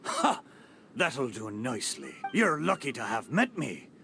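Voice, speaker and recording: A man's voice: mid-range voice, wildly swooping pitch, a little slow, gravelly, music in the background, full character voice. A man voicing a jovial old talking boat in a video game, with boastful, hearty pirate slang. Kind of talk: video game character acting.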